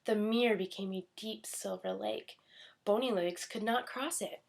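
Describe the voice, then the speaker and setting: A woman's voice: mid-range voice, normal speed, vocal fry, a little hiss or hum. Woman reading a children's story aloud. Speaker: storybook reader.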